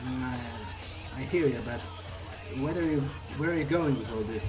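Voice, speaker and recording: Male gamer, role-playing aloud in a playthrough: tentative, chatty questions, with clear music behind the voice. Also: medium pitch, moderate pace, rough recording. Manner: hesitant, questioning, conversational. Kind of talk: in-character improvised replies in a game playthrough. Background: game music.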